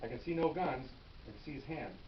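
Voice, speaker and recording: A retired police officer, an older man, telling a story from his career to the camera in a casual, animated way and acting out the shouting. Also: mid-range voice, fast. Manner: animated, acts out his own yelling. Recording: roomy, distant, dull with hiss.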